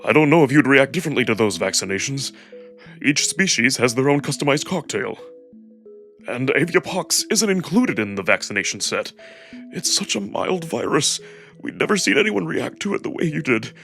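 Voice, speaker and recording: A man voicing a huge alien wolf who is tender, anxious and lovestruck, speaking in a halting, earnest, emotional way in a visual novel reading. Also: sing-song, a bit bright, faint music behind. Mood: regretful, explaining. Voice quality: deep voice, shaken and breaking.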